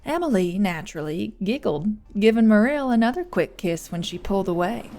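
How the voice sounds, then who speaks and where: A woman's voice: medium pitch, lively intonation, fairly quick, loud music behind the voice. A woman narrating an audiobook, reading scripted fiction.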